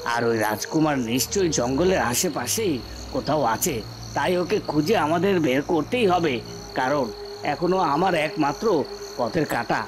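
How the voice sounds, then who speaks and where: Man as a commanding, scheming usurper, highish voice. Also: animated, measured pace, noisy background. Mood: scheming, confident. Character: scheming usurper villain plotting against the prince. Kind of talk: Bengali fairy tale cartoon dubbing.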